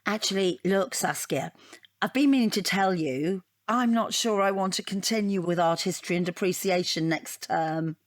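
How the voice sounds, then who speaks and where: An actress playing an opinionated, defensive art student in a comic audio drama, frank and matter-of-fact. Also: female voice, on the low side, normal speed, no room sound, studio quality, natural voice. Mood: earnest, defensive. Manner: rambling, matter-of-fact, self-justifying.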